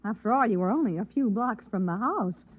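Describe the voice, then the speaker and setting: An actress playing a devoted, anxious American housewife in 1950s radio drama, solicitous and a little flustered. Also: female voice, mid-range voice, expressive, fairly quick, husky. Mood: gently chiding. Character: devoted, anxious young-to-middle-aged housewife. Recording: thin, tinny old radio recording.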